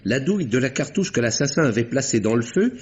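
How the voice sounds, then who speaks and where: An actor playing a calm doctor-detective who exposes a murderer in an old radio drama, speaking in a measured, pointed way with dramatic pauses. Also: male voice, mid-range voice, lightly acted. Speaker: Doctor Westlake. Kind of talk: 1950s radio drama acting. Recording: dull old radio recording.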